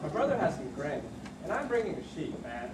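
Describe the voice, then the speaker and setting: A noisy, echoing recording carries a high, swooping voice from a man as a laid-back puppet-show brother. He sounds simple and eager. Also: very animated, a little fast. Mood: easygoing. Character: Abel, an easygoing, eager brother with a sheep. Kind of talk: amateur church puppet show acting. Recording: distant roomy recording, loud noise and crowd.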